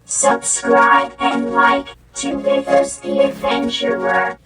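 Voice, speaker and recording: A woman's voice: a little high, sing-song, takes it slowly, reverberant, loud music behind the voice, rough recording. A woman reciting a promotional line through novelty voice-changer filters. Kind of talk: repeated channel promo line.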